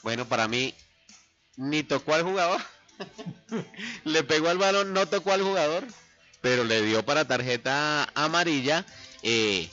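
A man's voice: on the high side, lively intonation, a little slow, pauses often, bright, forceful tone. A man hosting a sports radio segment, joking and quizzing his co-host. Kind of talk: lively sports radio banter. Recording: muffled lo-fi radio broadcast with faint music.

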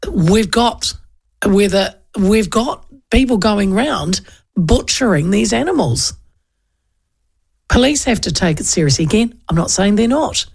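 A woman's voice: lowish voice, vocal fry, darkish tone. A woman hosting a radio show, bantering affectionately with callers. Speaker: radio host. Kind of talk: casual radio talk.

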